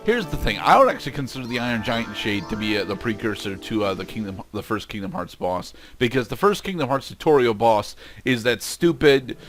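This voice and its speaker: Man, medium-pitched voice, casual let's play commentary, faint music behind. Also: animated, fairly quick, hardly any pauses, a bit bright.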